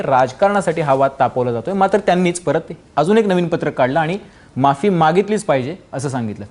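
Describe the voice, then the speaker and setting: Male debate anchor, higher-than-average voice, very quick pace, probing and insistent. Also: darkish tone. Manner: insistent, interjecting hums while guests speak. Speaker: TV debate show host. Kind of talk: news debate moderating, probing questions.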